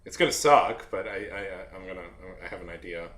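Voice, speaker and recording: A young man chatting casually on a live stream while drawing, rambling and halting with many fillers and restarts. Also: sits low, a bit bright, slight background noise. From Saudi Arabia.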